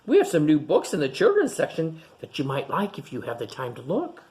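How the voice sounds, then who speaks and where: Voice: medium pitch, wildly swooping pitch, fairly quick, a little mellow. A storytime reader voicing a friendly, helpful children's librarian, welcoming and pleased.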